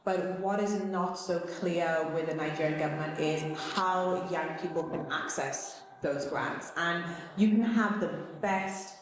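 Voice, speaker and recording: Echoey, low-pitched British woman. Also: measured pace, few pauses. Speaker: artist and foundation founder being interviewed. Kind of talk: unscripted documentary interview. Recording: very echoey hall, faint noise.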